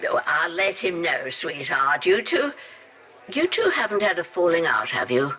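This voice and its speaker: A woman's voice: on the low side, very animated, moderate pace. An actress voicing a middle-aged British mother, affectionate, chatty and fussing, through a telephone effect in an audio drama. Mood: fond, worried. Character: doting, chatty British mum, gently worried. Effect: telephone filter. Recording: dull lo-fi phone call.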